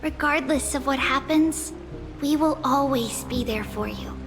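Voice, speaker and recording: Game role: encouraging little girl guide, very high and slow-spoken, gentle, with music behind. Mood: earnest, supportive. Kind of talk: video game voice acting. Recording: long reverb, music behind.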